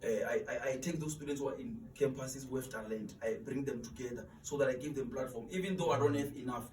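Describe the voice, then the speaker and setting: A man's voice: medium pitch, fast talker, darkish tone. A man in his twenties, an interview guest, talking earnestly about mentoring students and often repeating words while he searches for them. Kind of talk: motivational interview talk. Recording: echoey room, distant mic, faint noise.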